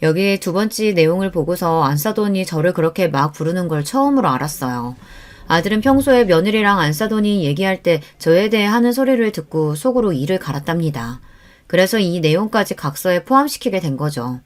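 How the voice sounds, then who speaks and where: A woman narrates a scripted story in the first person. Her pitch is slightly low. The delivery follows a radio-story style. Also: animated, fairly quick.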